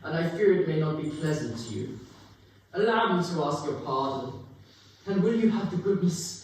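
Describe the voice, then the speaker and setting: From far off in a very echoey room comes a high-pitched elderly gentleman acted by a young man. He is a reformed Victorian miser, exclamatory and theatrical, joyful and generous. There is faint noise behind him. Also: lively intonation, moderate pace. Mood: gleeful. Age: young adult. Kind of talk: dramatic stage reading of Victorian story. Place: museum room, live performance. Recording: roomy echoing hall, distant mic, faint noise.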